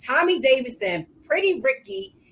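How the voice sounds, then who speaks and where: Older female talk-show co-host, high-pitched, chatty, quick to laugh. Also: breathy edge. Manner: lively, chatty, laughs often. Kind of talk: casual live show chat.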